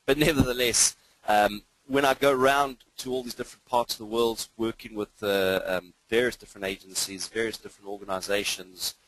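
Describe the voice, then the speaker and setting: A man's voice: on the low side, fairly bright tone, no room sound, low-quality recording. A man with a mixed international English accent, part British and part South African, presenting a live webinar as a trainer in an informal, enthusiastic, hesitant manner. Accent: mixed international English, hints of British and South African. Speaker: cybersecurity trainer.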